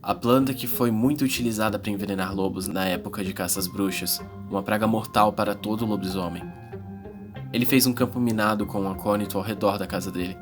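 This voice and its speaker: A man's voice: fairly low pitch, steady tone, brisk, over music, natural voice. A man with a Brazilian accent playing an anxious yet eager young man narrating his adventure in a dramatized audiobook. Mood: reflective.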